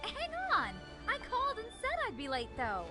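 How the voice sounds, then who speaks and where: A man's voice: very high-pitched, wildly swooping pitch, moderate pace, loud music behind the voice, voice pushed up, heavily acted. A man voicing a bubbly, pushy young woman reporter in a visual novel, with a breezy, gushing, theatrical delivery. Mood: perky. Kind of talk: visual novel character voice read-aloud.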